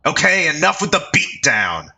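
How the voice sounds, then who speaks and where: A man voicing a brash male reporter who taunts and talks back quickly. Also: fairly high pitch, big pitch swings, gravelly, pushed and bright, pitched above the performer's own voice, exaggerated voice. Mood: taunting. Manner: taunting, fast-talking.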